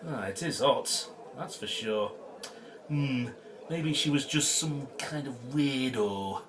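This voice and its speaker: A man's voice: medium pitch, slow pace, lightly acted. A man in an audio drama playing an easygoing, wryly sceptical archaeologist with an informal, blokey manner. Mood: puzzled. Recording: noisy ambient background.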